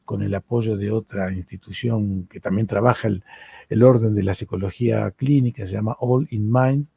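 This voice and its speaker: A man's voice: fairly low pitch, steady tone, moderate pace, vocal fry, warm tone, slightly rough, no room sound. A middle-aged man from Argentina with an Argentine accent, giving a lecture on psychoanalysis and often repeating words as he searches for them. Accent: Argentine Spanish.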